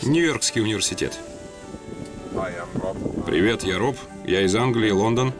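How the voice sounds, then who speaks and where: A man reading a Russian voice-over translation of people introducing themselves, in a plain, steady way. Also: lowish voice, measured pace. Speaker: voice-over interpreter. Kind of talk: dubbed self-introductions, read evenly. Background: background music.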